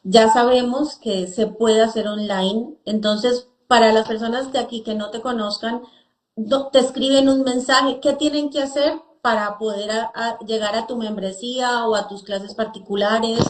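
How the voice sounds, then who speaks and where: A woman's voice: fairly high pitch, fairly even intonation, unhurried. A woman hosting an interview in a conversational style, speaking in Spanish. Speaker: interview host. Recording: echoey room sound.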